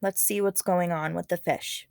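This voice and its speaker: A woman's voice: fairly low pitch, steady tone, normal speed, gravelly, darkish tone, no room sound, studio quality. A woman speaking as a teacher, reading a story aloud expressively and talking to students in an instructive, casual way. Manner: instructive, checks in with okay and all right.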